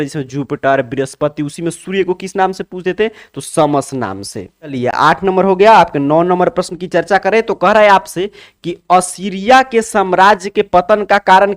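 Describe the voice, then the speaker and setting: A man teaching an exam solution lesson, putting questions to students and answering them himself, repeating key phrases. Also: fairly high pitch.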